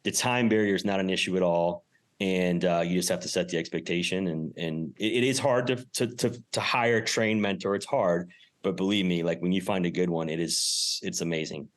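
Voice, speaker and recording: A man's voice: sits low, moderate pace. A man speaking as an interview guest in casual, emphatic, informal talk about his own experience. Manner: emphatic, informal, mild swearing. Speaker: podcast guest, real estate investor and business owner.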